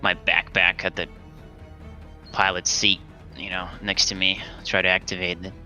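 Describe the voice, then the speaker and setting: A man's voice: sits low, completely flat delivery, moderate pace, sharp tone, soft background music. A man chatting casually as a player in a tabletop roleplaying game.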